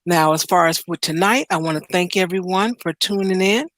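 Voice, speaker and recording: A woman's voice: on the low side, a little fast, fairly bright tone, no room sound, studio quality. A woman hosting a livestream who thanks her viewers and signs off in an upbeat way. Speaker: livestream host. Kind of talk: casual livestream sign-off.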